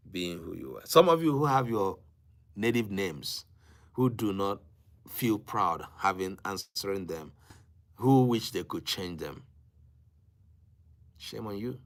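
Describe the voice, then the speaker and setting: Deep-voiced Nigerian man, emphatic and preaching. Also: fairly bright tone. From Africa. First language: Igbo. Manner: emphatic, preaching, with repeated phrases and deliberate pauses. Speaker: language teacher hosting a live class. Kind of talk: impassioned exhortation to live-stream viewers.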